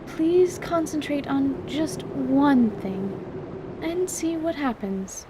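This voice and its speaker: A woman playing a composed, scholarly magic teacher, patient and reserved, with formal, measured wording in a fantasy audio drama. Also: high voice, lively intonation, background noise, voice pushed up, full character voice. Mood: strained, cautious.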